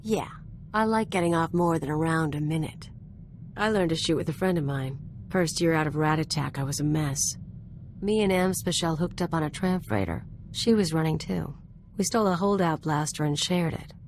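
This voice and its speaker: A tough, streetwise outlaw companion in a video game. Her voice is fairly low, with a cocky, clipped, offhand delivery. A little noise sits in the background. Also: normal speed, a little mellow. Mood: wry, reminiscing. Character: brash, streetwise female outlaw gunslinger companion. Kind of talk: conversational video game acting.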